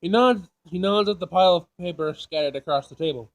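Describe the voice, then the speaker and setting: A man's voice: high voice, fairly quick, gravelly. A man hosting a casual let's play, reading a visual novel aloud and reacting in informal, slangy speech. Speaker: gaming video host.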